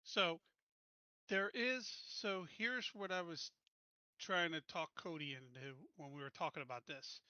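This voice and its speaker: A man playing a tabletop game, talking through plans casually in deliberate, stop-and-start phrases. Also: a little high, sharp tone, smooth, dry recording, highs cut off. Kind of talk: casual game planning talk.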